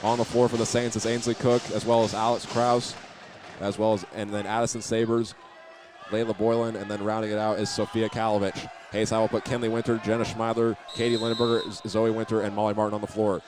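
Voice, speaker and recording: A man's voice: fairly low pitch, flat delivery, fast. A man calling live basketball play-by-play from an indoor arena, occasionally stumbling over or repeating a word. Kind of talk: live sports commentary. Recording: noisy gym ambience behind voice.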